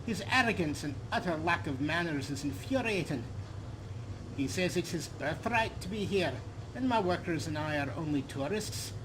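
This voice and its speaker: Man reading as an earnest high-voiced fantasy scholar. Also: lively intonation, moderate pace, close to an everyday voice. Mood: indignant. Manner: formal, emphatic, rhetorical. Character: earnest, fussy fantasy scholar writing a research journal. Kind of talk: dramatic reading of a game journal. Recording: clear background noise under voice.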